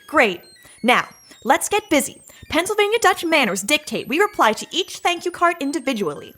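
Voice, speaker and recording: A woman playing a brisk, playful female agency colleague in a comedic audio drama, expressive, with some noise in the recording. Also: high voice, exaggerated voice. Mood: brisk, businesslike.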